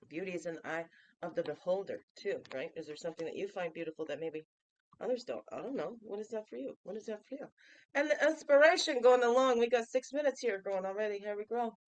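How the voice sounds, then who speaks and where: A woman's voice: medium pitch, expressive, fast talker, poor audio. Stuffy-nosed woman in her fifties chatting. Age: 50s. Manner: playful, chatty, asks the listener questions. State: stuffy and nasal from a cold. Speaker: host of a daily reflection show. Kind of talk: casual reflective vlog talk.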